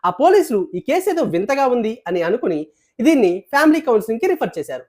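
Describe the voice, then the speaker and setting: A woman presenter narrates scripted news in a high voice. Her pitch moves up and down a lot as she reads.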